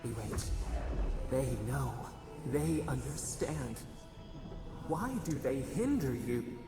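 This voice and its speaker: Casting: haunting imprisoned spirit entity, cryptic and fragmented, very creaky, cavernous far-off reverb, game cinematic. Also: medium pitch, big pitch swings, slow, exaggerated voice. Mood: ominous. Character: trapped, frightened, cryptic ancient spirit entity. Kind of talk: scripted dramatic game acting. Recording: cavernous reverb, distant, music behind.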